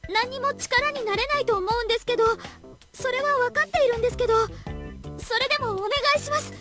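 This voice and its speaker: A woman's voice: very high-pitched, fast talker, pushed and bright. A woman voicing a polite, earnest, pleading young woman in a Japanese visual novel. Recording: game audio with music behind.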